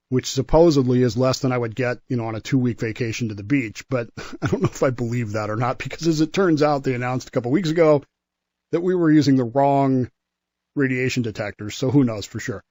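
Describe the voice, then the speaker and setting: Male history expert for radio talk, mid-pitched voice, dry sound, emphatic and conversational. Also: a little mellow, no echo. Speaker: radio show guest historian; former nuclear submarine serviceman.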